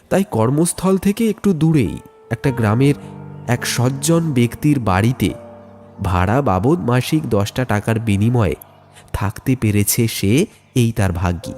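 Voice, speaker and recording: Expressive man narrating a ghost story. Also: mid-range voice. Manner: dramatic, suspenseful storytelling. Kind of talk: scripted first-person ghost story narration. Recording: music bed under the voice.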